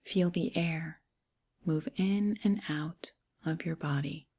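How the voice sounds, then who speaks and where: Slow, breathy woman guiding meditation. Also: low-pitched. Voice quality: breathy, hushed, near-whisper. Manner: gentle, unhurried phrasing with pauses. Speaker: meditation guide. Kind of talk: guided meditation, soft and soothing.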